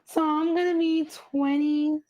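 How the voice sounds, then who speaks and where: A woman's voice: high-pitched, little pitch movement, measured pace, warm tone, dull audio, slight background noise. A woman in her late twenties with a youthful-sounding voice, chatting casually and dryly as a podcast co-host. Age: late 20s.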